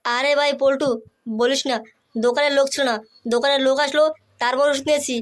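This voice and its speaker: A woman's voice: sits high, little pitch movement, fast, natural voice. A woman voicing an eager, urgent young boy in a comic cartoon. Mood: anxious, eager. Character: eager young boy fetching help for friends.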